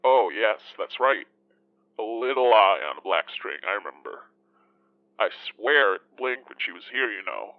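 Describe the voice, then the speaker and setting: A man's voice: lowish voice, animated, normal speed, no echo. A man playing a genial, chatty older widower calling a radio show in an audio drama, folksy and polite, over a muffled line. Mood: wistful, reminiscing. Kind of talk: conversational audio drama acting.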